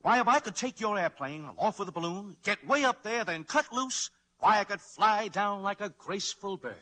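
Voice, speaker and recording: A cocky carnival balloon jumper in a 1940s radio play. The voice is bright and fairly high, with swooping, animated delivery and slangy, boastful phrasing. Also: male voice, normal speed, heavily acted, low-quality recording. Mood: breezy boasting.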